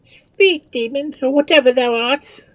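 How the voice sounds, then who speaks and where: A woman's voice: sits high, lively intonation, moderate pace, darkish tone, no room sound, pitched above the performer's own voice. A woman voicing an earnest, anxious female attendant to a queen in a story, urgent and dramatic. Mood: frightened, bold.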